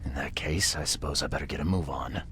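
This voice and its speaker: Noir game private eye: extremely deep, laconic, dry, world-weary. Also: male voice, fast talker, fairly bright tone, slight background noise. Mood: impatient, dry. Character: world-weary, wry male private detective. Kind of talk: noir-like video game voice acting.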